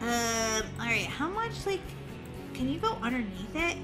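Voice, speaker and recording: A woman hosting a gaming video, giving excited, playful and dramatic gameplay commentary for young viewers. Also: fairly high pitch, unhurried, over loud music.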